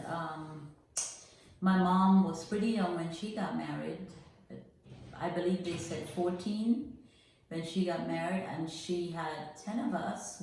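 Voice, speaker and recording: An older woman from Guyana telling her life story as a podcast guest, earnest and reflective, with frequent pauses. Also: lowish voice, a little mellow, very echoey, recorded from a distance, slight background noise, poor audio.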